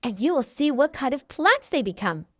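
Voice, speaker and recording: A woman's voice: sits high, big pitch swings, moderate pace, a bit bright, no room sound, muffled recording. A woman voicing a kind, encouraging preschool teacher in a storybook, explaining patiently to small children.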